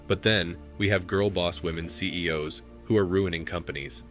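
Deep-voiced guy reading posts out for his show, crisp bright tone, soft music under it. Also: steady tone, normal speed. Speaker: commentary host. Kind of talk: reading posts aloud for commentary.

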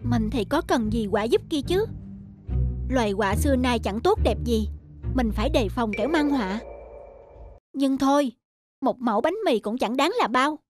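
Người phụ nữ đóng gấu con giọng rất cao. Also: female voice, a little high, lively intonation, moderate pace, long pauses, over music, close to an everyday voice. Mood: suspicious. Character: cheerful, suspicious young bear on a picnic. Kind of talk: children's cartoon dubbing.

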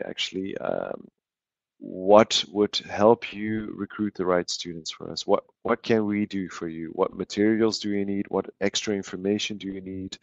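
A man's voice: low voice, no room sound. A man, hosting a live university webinar over a headset, hesitant with many fillers and restarts. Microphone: headset on a video call. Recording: dry, dull lo-fi call audio.

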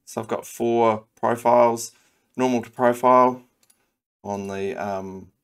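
A man with a New Zealand accent talking through a software modelling tutorial, thinking aloud with frequent ums and restarts. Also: mid-range voice, steady tone, slow, smooth. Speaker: CAD design tutor. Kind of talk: screen-recorded software tutorial.

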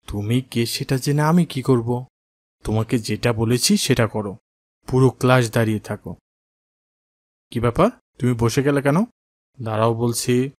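A dignified, firm schoolteacher in a moral cartoon, acted by a man. He speaks instructively at a medium pitch. The recording is dry, with no room sound. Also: normal speed, pauses often, no echo, close to an everyday voice. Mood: stern, commanding. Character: firm, principled maths teacher in a skullcap. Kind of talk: cartoon dialogue acting.

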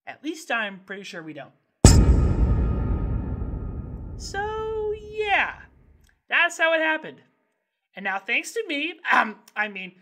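A woman's voice: sits high, pushed and bright, full character voice. A woman voicing a cheerfully clueless, impulsive, hyperactive young boy in a cartoon comedy, rambling and blurting as the character goes. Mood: sheepish, scatterbrained. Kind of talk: animated comedy narration and dialogue.

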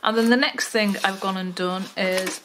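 An older woman with a Wirral accent talks through a craft project at her table, voice at an ordinary middle pitch. She chats loosely, filling pauses with ums and muttering to herself as she works. A faint hiss sits under the recording. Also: fairly even intonation, a bit bright, low-quality recording. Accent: Wirral, mix of Welsh and Liverpool. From Wales. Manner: chatty, many ums, talks to herself while working. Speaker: craft tutorial host. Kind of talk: casual craft tutorial. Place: home craft table, indoors. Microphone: overhead camera, hands only.

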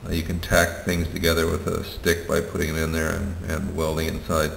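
A middle-aged man with a deep, gravelly voice talks through his home projects in a plain, halting way, full of fillers. The room is bare and echoey with a long reverb tail, and a faint hum of ambience sits behind him. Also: monotone, fairly bright tone. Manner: hesitant, plain-spoken, many pauses and fillers. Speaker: hobby welder showing his projects. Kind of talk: casual show-and-tell narration.

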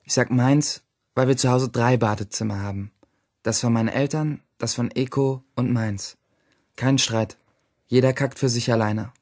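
A man's voice: medium pitch, steady tone, moderate pace, a little mellow, dry recording, clean studio sound, lightly acted. An actor playing a cocky, computer-savvy teenage schoolboy who narrates his day in a radio drama. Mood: matter-of-fact, cheeky.

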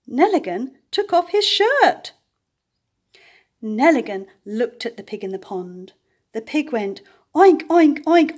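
An older woman reading a children's picture book aloud, with expressive emphasis and playful animal sounds. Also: high voice. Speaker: storyteller. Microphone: camera above the book on a table.

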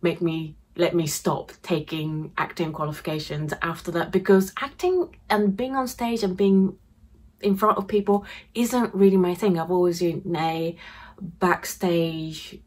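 A woman's voice: fairly low pitch, unhurried. Chatty Japanese-born woman. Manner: chatty and animated, laughs often. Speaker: craft YouTuber. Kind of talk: casual talk to camera. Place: home craft room, indoors. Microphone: camera facing her at desk distance.

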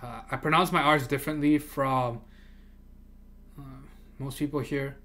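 Bright-voiced man chatting on a livestream. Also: mid-range voice, fairly even intonation, a little slow. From moved to America from abroad. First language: Chinese. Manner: rambling, repeats words, laughs often. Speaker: livestream host. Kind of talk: casual chat with chat readers.

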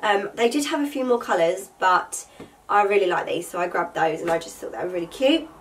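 A woman's voice: medium pitch, animated, brisk, distant mic, slight background noise. A young woman in her twenties, sounding nasal from a cold, chatting casually to camera in her bedroom about things she bought, with lots of fillers. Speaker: vlogger. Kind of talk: casual haul chat to camera. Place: bedroom with vanity table, indoors.

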